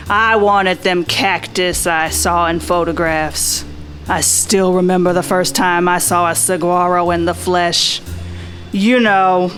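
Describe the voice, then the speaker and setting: A woman's voice: fairly low pitch, a bit bright. A woman playing a world-weary, wry 1940s private detective, narrating in a folksy, slangy noir radio style. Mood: wry, reflective. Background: music.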